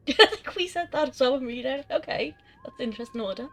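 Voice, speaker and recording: A woman's voice: high-pitched, expressive, brisk, fairly bright tone, soft background music. A woman chatting casually with friends during a group reading. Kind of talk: casual chat among friends.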